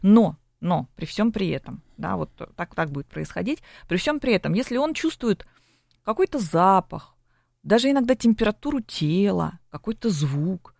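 A woman with a gravelly, fry-edged voice explains her subject patiently, like a lecturer, often tagging her sentences with a quick question to her listener. She speaks as an expert guest in a broadcast interview, and the recording is dry and close with little room sound. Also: medium pitch, very animated, a little mellow, dry recording. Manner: lecturing, with frequent tag questions. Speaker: psychologist guest.

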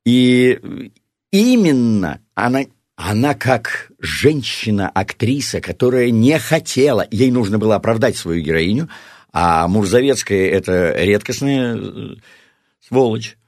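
A male actor and singer from Omsk, singing slowly to a guitar, then telling stories quickly on a talk show. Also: fairly low pitch, sing-song, no echo, clean studio sound. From Omsk, Russia. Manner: sings slowly and warmly, then tells anecdotes quickly with repeats.

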